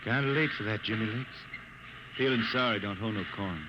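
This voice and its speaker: A blind drifter spaceman from the Ozark hills, weary and wistful, talks in a slow folksy rural drawl, as a man plays him in an old radio drama. His voice is muffled like a vintage broadcast, with a steady hiss behind it. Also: on the low side, close to an everyday voice. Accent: Ozarks rural American. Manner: weary, wistful, quietly stubborn. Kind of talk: 1950s radio drama acting.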